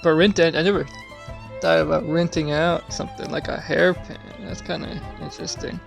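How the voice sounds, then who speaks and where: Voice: medium pitch, steady tone, moderate pace, vocal fry. A game streamer giving casual commentary, musing and hesitant, with game music behind. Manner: musing, hesitant, thinking aloud.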